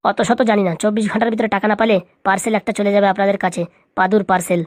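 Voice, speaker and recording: A woman's voice: mid-range voice, fairly even intonation, rapid-fire, darkish tone, no room sound, studio quality, close to an everyday voice. A woman voicing a menacing male cartoon kidnapper, curt and domineering in comedy dialogue. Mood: cold menace. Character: menacing male kidnapper making ransom demands.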